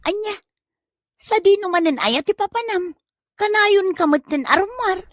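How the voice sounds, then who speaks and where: A woman's voice: extremely high pitch, expressive, measured pace, no room sound, studio quality. A woman playing a suspicious, nagging wife in an Ilocano comedy drama, scolding and exclaiming. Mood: stern. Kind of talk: comedy radio-style drama acting.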